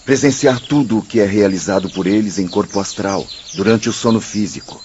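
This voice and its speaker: A man's voice: on the low side, fairly quick, darkish tone, slight background noise, lightly acted. An actor with a Brazilian accent playing a courteous male spirit mentor who explains things formally in a radio drama. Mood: instructive. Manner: formal, instructive. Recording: dull sound with outdoor birdsong ambience.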